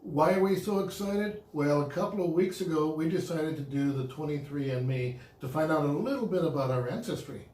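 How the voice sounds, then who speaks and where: A man's voice: a little high, brisk, few pauses, a little mellow. A man co-hosting a casual couple's vlog, talking in an upbeat, chatty way and laughing easily. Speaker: vlog co-host. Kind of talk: casual chat. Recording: echoey room.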